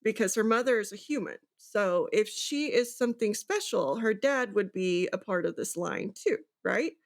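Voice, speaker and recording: Woman podcast host, normal pitch, casual book chat, kinda working out theories out loud and checking in. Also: lively intonation, normal speed. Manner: speculative, thinking aloud with rhetorical check-ins.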